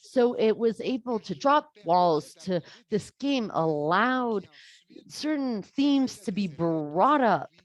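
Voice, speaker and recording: A veteran schoolteacher, her voice rising and falling in wide, animated swings. She talks earnestly and breaks off to restart her sentences as she recounts her classes. Her pitch sits in a middle range for a woman. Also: takes it slowly, no room sound. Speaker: teacher. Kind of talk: webinar talk sharing classroom experience.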